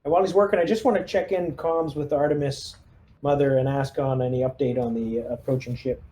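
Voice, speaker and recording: A man's voice: medium pitch, steady tone, a little fast, warm tone, slight background noise. A man playing a squad leader in a tabletop roleplaying game, talking casually with the group. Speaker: player acting as squad leader.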